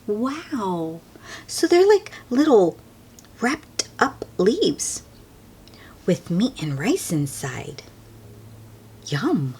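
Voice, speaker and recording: A woman reading a picture book aloud to children as host, expressive and often hushed, with friendly asides to young listeners. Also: mid-range voice, drawn-out words, long pauses, breathy edge.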